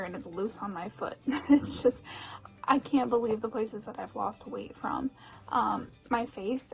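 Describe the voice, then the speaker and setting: A young woman speaks casually to her viewers in a personal vlog, her voice a touch higher than most. She chats easily, sprinkling in little laughs and "um" fillers. Faint music plays softly behind her. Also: muffled recording. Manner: chatty, frequent laughs and "um" fillers. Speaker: vlogger.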